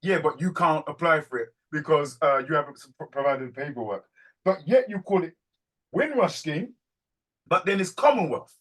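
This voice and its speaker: A man hosting a reading group on a video call, urging and animated, repeating phrases as he presses his points. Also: a little high, normal speed, low-quality recording.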